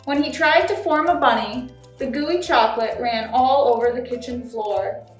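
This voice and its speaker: A woman's voice: a little high, normal speed. A woman who volunteers as a story time reader, speaking to children as she reads picture books aloud. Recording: roomy echo, distant mic, music behind.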